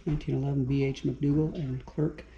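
A man's voice: medium pitch, level tone, brisk, vocal fry, a little hiss or hum. A man vlogging a walking tour through a small museum and historic village, marvelling at everything and reading signs aloud, recorded on an action camera. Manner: marvelling, keeps saying wow, reads signs aloud. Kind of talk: casual walking-tour commentary. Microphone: action camera mic. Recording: slightly dull action-camera audio.

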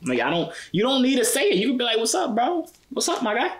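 A man's voice: very high voice, very animated, fast talker. A man in his twenties with an American accent chatting casually in slang, playful and teasing. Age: 20s. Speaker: vlogger chatting with strangers online.

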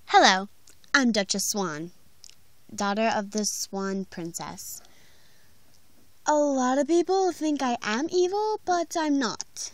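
A woman's voice: high-pitched, lively intonation, slow pace, pauses often, no echo. A woman voicing a misunderstood young fairy-tale princess in an amateur cartoon audition monologue. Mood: self-introducing.

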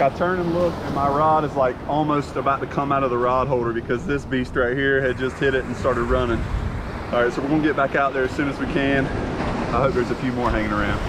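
Man, 30s, outdoor fishing vlogger, chatty and excitable, action-cam sound, wind and surf clearly behind. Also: mid-range voice. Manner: chatty, excitable when a fish hits. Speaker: fishing channel host. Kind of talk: casual outdoor vlog narration. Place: open ocean beach outdoors in wind and rain. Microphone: action camera, chest or handheld. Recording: windy beach with loud surf noise.

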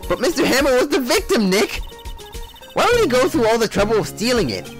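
A young man doing a bubbly teen girl from a courtroom game, pitching way up into a squeaky, excitable voice. She darts up and down as she talks, with faint music underneath. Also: a little fast, heavily acted. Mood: excited.

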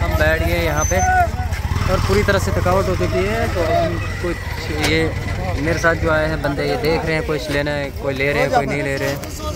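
A man talks casually and keeps turning to his viewers, a travel vlogger narrating as he goes. He speaks into a phone held at arm's length. Heavy traffic and crowd noise runs under him. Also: a little high. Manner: chatty, keeps addressing viewers. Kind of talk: handheld travel vlog narration. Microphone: phone camera held at arm's length.

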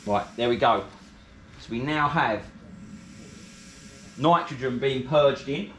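A British man presents a casual how-to as a working mechanic. His voice sits at an average male pitch, and he explains things step by step in colloquial, matey language. He is recorded a few steps from the camera in a garage, with clear background noise. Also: slow pace, a bit bright, rough recording. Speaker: car mechanic and video presenter. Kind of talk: hands-on how-to demonstration, casual. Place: cluttered car repair garage, indoors.

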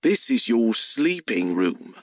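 Man putting on a slow, simple-minded hellhound. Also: medium pitch, sing-song, no room sound, clean studio sound. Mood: earnest, simple. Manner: slow, childlike literal phrasing. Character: male talking hellhound, simple-minded, literal, food-obsessed.

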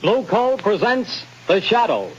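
A man's voice: sits high, big pitch swings, a little slow, music in the background. A male announcer in dramatic, declamatory 1930s radio style. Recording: muffled old radio recording.

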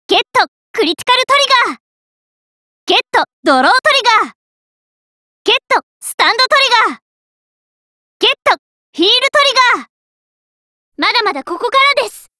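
A woman's voice: extremely high pitch, bright, forceful tone, no room sound, clean studio sound, full character voice. A woman voicing a cheerful, earnest teenage girl magician in an anime card game, sweet and eager. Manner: sweet, eager, emphatic called-out phrases.

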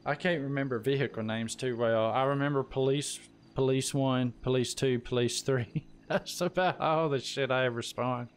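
Male gamer, medium pitch, casual streaming banter with faint game noise. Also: fairly even intonation, moderate pace, hardly any pauses. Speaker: gaming live streamer. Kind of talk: casual banter while playing an online game.

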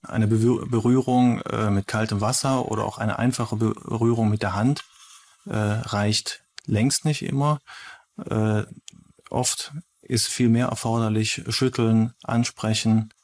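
A man giving an unscripted expert interview, halting as he searches for words. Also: on the low side, fairly even intonation, darkish tone.

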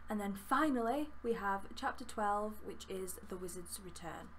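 Yorkshire woman in her twenties, mid-pitched, chatty book vlogger at a webcam, faint room hum. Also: moderate pace. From Yorkshire, England. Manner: chatty, enthusiastic, rambling with fillers. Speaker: book reviewer. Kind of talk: casual book review vlog. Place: small room with bookshelves, indoors.

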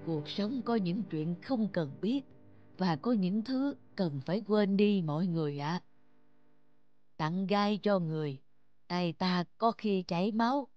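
Cartoon elder: forgiving village sage, unhurried and calm, music underneath. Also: male voice, high voice, dry recording, highs cut off. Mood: gentle, forgiving. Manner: slow, philosophical, calm. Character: gentle forgiving older village man.

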